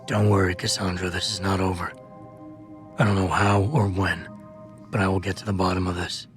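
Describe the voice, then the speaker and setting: Video game lead, male actor: augmented security man, brooding, low gravelly register, deadpan and terse. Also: normal speed, over music, natural voice. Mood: quiet resolve. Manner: deadpan, clipped.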